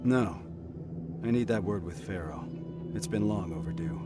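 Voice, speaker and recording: An actor playing a weary, disillusioned military squad commander in a video game, blunt and reflective. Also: male voice, low voice, expressive, normal speed. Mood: calmer, resolved. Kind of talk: video game cinematic acting. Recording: loud music behind the voice.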